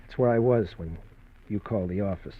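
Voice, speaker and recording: Husky, tense ex-con husband , played by a man, muffled old radio broadcast. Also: fairly low pitch, a little fast, close to an everyday voice. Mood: troubled. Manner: tense, hesitant, earnest. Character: anxious reformed ex-crook husband hiding his past. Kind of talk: 1950s radio drama acting.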